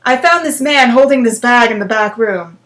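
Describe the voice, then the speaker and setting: A woman's voice: fairly high pitch, moderate pace, fairly bright tone, close to an everyday voice. A woman reading the part of a brusque, official-sounding male police officer in a story. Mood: brisk, official.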